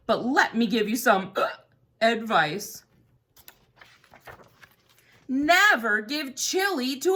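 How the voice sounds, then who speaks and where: A woman's voice: high-pitched, pushed and bright, pitched above the performer's own voice, heavily acted. A woman voicing a polite, fussy male penguin who talks chattily with lots of hesitant fillers.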